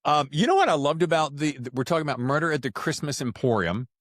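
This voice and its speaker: A man's voice: fairly high pitch, sing-song, fast talker, a bit bright, no room sound, clean studio sound. An American man hosting a podcast, talking in an effusive, jokey way. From United States. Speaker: podcast host. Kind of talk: scripted intro and casual interview chat.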